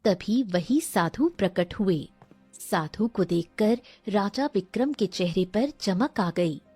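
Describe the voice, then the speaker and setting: A woman narrating a scripted animated moral story as its storyteller. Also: mid-range voice, slow pace, slightly rough, no room sound, soft background music.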